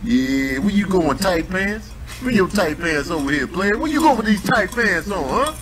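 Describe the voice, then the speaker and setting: A man voicing a streetwise, trash-talking animal in a comedy voice-over, with slangy, repetitive banter, excitable and cocky. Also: sits high, moderate pace, noisy background. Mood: taunting.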